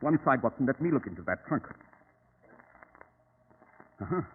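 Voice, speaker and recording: An actor playing a shrewd, commanding detective, precise and formal, in old-time radio drama style. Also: male voice, mid-range voice, very animated, normal speed, natural voice. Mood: intent, dramatic. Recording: tinny, noisy old radio recording.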